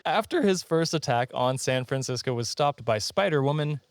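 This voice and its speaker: A man's voice: mid-range voice, lively intonation, normal speed, no room sound. A man hosting a podcast countdown, telling stories in a casual comedic way with a self-deprecating, jokey manner and frequent laughing. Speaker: podcast host presenting a countdown.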